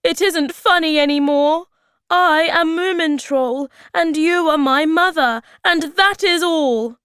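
A woman's voice: fairly high pitch, expressive, a little slow, no echo, clean studio sound, pitched above the performer's own voice. A woman voicing a young male moomin creature in a storybook, playful and sometimes plaintive. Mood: upset, pleading. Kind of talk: storybook character voice.

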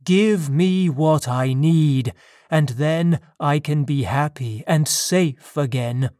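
A narrator voicing a lonely, wronged male monster in an audiobook story, pleading yet menacing, with slow, deliberate delivery. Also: mid-range voice, warm tone, dry recording, clean studio sound, close to an everyday voice. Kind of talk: dramatised audiobook dialogue.

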